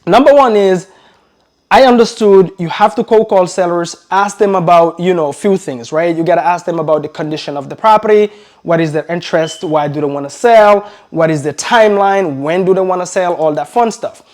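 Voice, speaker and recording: A man in his thirties who grew up in Belgium and learned English later. He coaches real estate newcomers in an energetic, repetitive style and keeps calling his viewers "guys.". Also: high voice, brisk. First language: not English. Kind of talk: motivational talk to camera.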